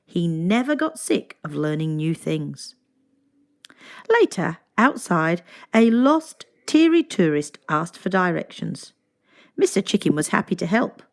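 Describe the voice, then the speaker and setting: A woman narrating a children's storybook aloud in an expressive, deliberate storytelling manner. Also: lowish voice.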